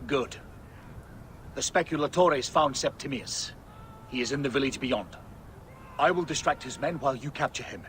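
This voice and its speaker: An actor playing a brisk, calculating male ally and strategist in a video game. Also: medium pitch, a bit bright, slight background noise, lightly acted. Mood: terse, scheming. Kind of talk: dramatic video game acting.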